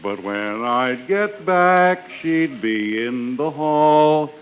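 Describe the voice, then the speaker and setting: Male comic foil, flustered, slow and swooping, old muffled radio sound. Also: on the high side, animated. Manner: flustered, put-upon comic delivery. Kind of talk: 1940s radio comedy. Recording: dull 1940s radio broadcast recording.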